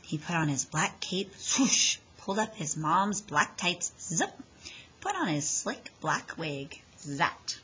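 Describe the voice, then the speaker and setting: A woman reading a children's story aloud in an expressive, dramatic way, leaning on the sound words. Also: low voice, takes it slowly, a little hiss or hum, rough recording.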